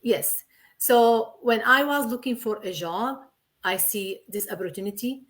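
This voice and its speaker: Earnest Syrian-born woman, halting English. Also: fairly high pitch, a bit bright. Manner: earnest, repeats herself, simple non-native English. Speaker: guest. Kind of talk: casual interview.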